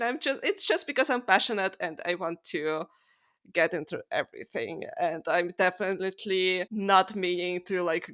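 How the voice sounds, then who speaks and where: A woman's voice: on the low side, fairly bright tone. A woman co-hosting a book podcast in casual chat, earnest and opinionated with informal phrasing. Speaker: podcast co-host.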